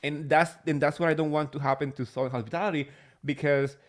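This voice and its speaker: A man's voice: fairly high pitch, fairly even intonation, quick pace. A man in his late thirties, a podcast guest, chatting casually and rambling with many hesitations and fillers. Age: late 30s. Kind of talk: casual chat.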